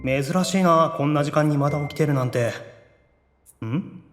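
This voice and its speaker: A man playing an anxious, excitable young otaku demon brother in an anime-style audio drama, theatrical, moving between awkward muttering and gushing squeals. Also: a little high, moderate pace, faint music behind, lightly acted. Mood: nervous muttering.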